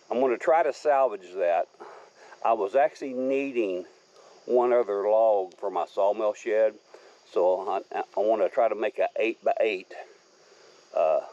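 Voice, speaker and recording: A man's voice: fairly low pitch, dark tone, dry recording. Easygoing man on his land. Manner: easygoing, rambling, folksy. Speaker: homesteader showing his land. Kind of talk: casual walk-around narration. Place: outdoors on rural wooded land. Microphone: handheld camera. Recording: slightly dull outdoor camera audio. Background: crickets and insects.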